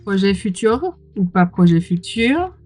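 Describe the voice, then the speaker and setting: A woman gives a casual, gushing commentary as an unboxing host. Her voice sits at an average pitch and she is mildly under the weather, with a throat that needs clearing. Soft music plays faintly behind her informal, exclamatory talk. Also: big pitch swings, unhurried. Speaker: host of a tarot unboxing channel.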